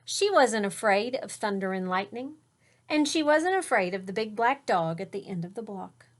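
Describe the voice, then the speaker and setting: A woman's voice: mid-range voice, expressive, moderate pace. A woman teacher reading a picture book aloud to children, explaining words and pointing out the pictures, sometimes dropping to a whisper.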